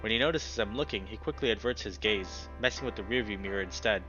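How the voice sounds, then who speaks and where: A man reading a visual novel's story text aloud in expressive narration, occasionally stumbling over words. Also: on the low side, fast, dull audio. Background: music.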